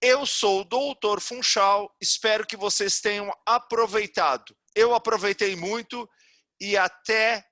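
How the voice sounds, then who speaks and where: A man's voice: high-pitched, fairly even intonation, unhurried, pushed and bright, no echo, clean studio sound. A Brazilian man hosting a podcast interview, effusive and folksy as he wraps up the talk. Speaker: podcast host.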